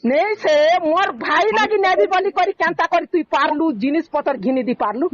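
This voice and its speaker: Sambalpuri woman scolding on a muffled phone call recording, talks really fast, keeps hammering the same point. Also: very high voice. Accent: Sambalpuri (western Odisha). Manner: scolding, accusatory, insistent, repeats phrases. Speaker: caller in a family dispute. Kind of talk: heated argument on a phone call.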